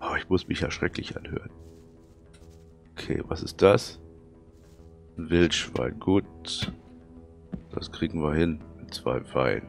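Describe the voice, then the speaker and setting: A man with a cold and a hoarse, thick voice, casually commenting on his gameplay and muttering to himself as he plays. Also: rumbling low, slow pace, pauses often, faint music behind. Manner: chatty, muttering to himself while playing. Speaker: let's play commentator.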